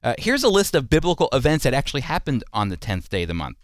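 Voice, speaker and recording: Man teaching on radio, lively range, clear voice. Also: mid-range voice, fast, no echo, studio quality. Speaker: radio host and Bible teacher. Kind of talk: radio Bible teaching and news commentary.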